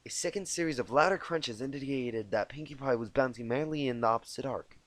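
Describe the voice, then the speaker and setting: A man narrating a story expressively as an amateur reader, informal and quick to correct himself. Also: mid-range voice, dry recording. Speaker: amateur fan fiction narrator.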